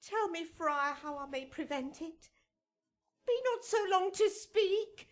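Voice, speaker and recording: A young noblewoman desperate with love in a Shakespearean radio play. Her voice sits high. She declaims slowly, with theatrical passion. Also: animated, full character voice. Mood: desperate, resolute. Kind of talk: Shakespearean radio drama acting.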